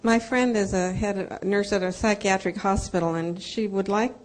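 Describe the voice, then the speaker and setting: Woman, mid-pitched voice, brisk earnest audience question. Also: fairly even intonation, a little hiss or hum, low-quality recording. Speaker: audience member.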